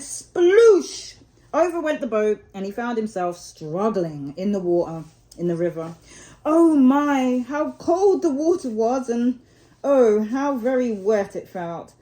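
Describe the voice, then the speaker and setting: A woman reads a children's story aloud as a storyteller. Her delivery is expressive, with dramatic emphasis and drawn-out key words, at a medium pitch.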